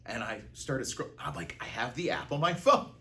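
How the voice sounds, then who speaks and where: A man's voice: mid-range voice, expressive, moderate pace, pushed and bright. An American man giving a conversational interview account as a theater art director, telling it like a storyteller.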